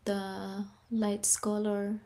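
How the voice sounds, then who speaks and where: Filipino-born woman in her thirties, mellow tone, answers short and simple. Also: mid-range voice, monotone, extremely slow. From Philippines. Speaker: host's girlfriend. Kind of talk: casual chat.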